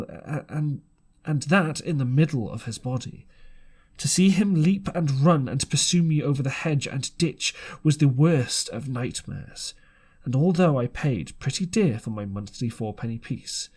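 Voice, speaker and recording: A man narrating a classic adventure audiobook in an expressive, dramatic storytelling style, now and then stumbling over a word. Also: mid-range voice, husky.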